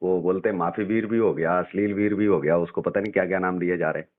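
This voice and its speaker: A man with a very low voice works as a news interviewer, talking freely and conversationally. He throws out rhetorical questions and examples. The sound is a narrow phone recording. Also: steady tone, fast. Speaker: news channel head interviewing a politician.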